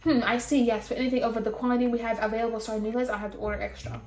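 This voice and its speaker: A woman voicing a stern, formal male professor in a story game, speaking deliberately as if lecturing. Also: fairly high pitch, little pitch movement, a little mellow, natural voice. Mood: businesslike. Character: stern, measured male professor, older, cautioning and intense. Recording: roomy echo, music behind.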